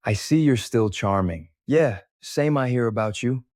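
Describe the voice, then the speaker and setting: A man's voice: mid-range voice, expressive, unhurried, darkish tone, no room sound, lightly acted. A man voicing characters in an audio drama, trading dry, teasing lines.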